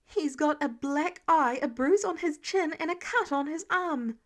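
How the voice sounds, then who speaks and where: A woman's voice: sits high, a little slow, a bit bright, voice pushed up. A woman voicing a caring female colleague who speaks with urgent concern in an audiobook. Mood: alarmed.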